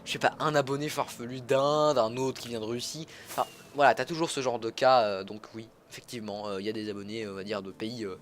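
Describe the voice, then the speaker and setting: A man's voice: medium pitch, fairly even intonation, quick pace, few pauses, a little hiss or hum. A young man from Alsace, chatting casually while streaming a game, greeting viewers and correcting himself mid-sentence. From Alsace, France. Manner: chatty, self-interrupting, greets chat viewers. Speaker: livestreamer. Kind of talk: casual gameplay livestream commentary. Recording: music playing behind the voice.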